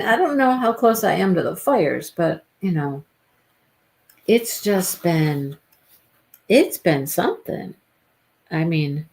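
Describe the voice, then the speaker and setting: A woman's voice: medium pitch, darkish tone. A woman hosting a live stream, chatting casually with viewers by name and repeating her phrases, her voice stuffy and congested. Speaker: livestream host.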